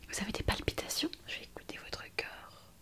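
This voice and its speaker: A woman playing a kind, eager young hospital intern in an ASMR roleplay, speaking in a soft, breathy whisper with an attentive, hesitant manner. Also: a little fast, close to an everyday voice. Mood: attentive, soothing. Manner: attentive, hesitant, gently reassuring. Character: kind, eager, chatty young female hospital intern on her first night shift.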